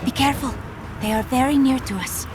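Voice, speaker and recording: An actress voicing a calm, wise young enchantress who speaks in a measured, formal way, in game audio with music behind. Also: female voice, high-pitched, lightly acted, rough recording. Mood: composed. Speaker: companion enchantress.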